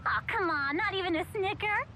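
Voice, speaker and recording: A woman's voice: very high-pitched, fairly quick, sharp tone, slight background noise. A woman voicing a bubbly, chatty young debt collector in an anime game, perky and teasing. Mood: perky, joking.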